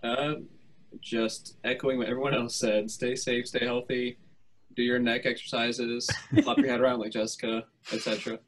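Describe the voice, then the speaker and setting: A man's voice: mid-range voice, level tone, normal speed, poor audio. A man chatting casually on a live stream with a dry, joking manner. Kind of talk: casual chat.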